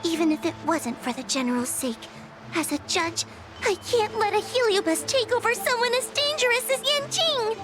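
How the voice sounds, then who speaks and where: A timid little girl judge from an anime-style game. The voice is tiny and extremely high, anxious yet dutiful. Music runs underneath. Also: animated, normal speed. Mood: solemn.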